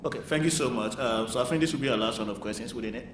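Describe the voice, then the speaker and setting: A man moderating a panel, taking audience questions in a brisk, informal way. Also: medium pitch. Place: lecture hall. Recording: echoey hall, distant mic, noisy.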